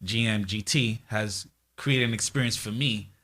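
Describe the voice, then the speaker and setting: A man's voice: lowish voice, moderate pace, a bit bright. A man appearing as a guest on a live show, speaking earnestly and with appreciation. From United States. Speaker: guest, bartending business owner.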